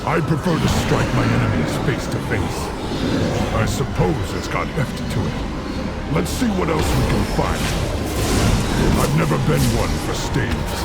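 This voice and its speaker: An actor playing a proud, honor-bound warrior in a video game, terse and formal, gruff about his weapons. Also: mid-range voice. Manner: terse, weighty, formal martial speech. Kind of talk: video game character acting. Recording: loud game music over the voice.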